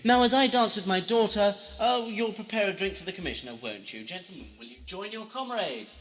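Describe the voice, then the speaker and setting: A high-voiced, flamboyant mad tyrant. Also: male voice, lively intonation, a little fast. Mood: indulgent, mocking. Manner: theatrical, grandiose, swooping from cooing to shouting. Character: flamboyant, menacing madman lord holding court. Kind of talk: amateur audio drama acting.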